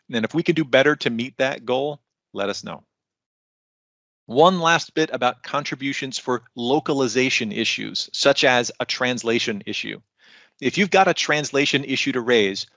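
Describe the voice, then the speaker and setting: A man explains technical material in an online conference presentation. His mid-pitched voice has a noticeable fry to it. He phrases things like an instructor, with light asides. The sound is close and dry, a little muffled and lo-fi. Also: a bit bright, no echo. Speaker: technical content developer presenting.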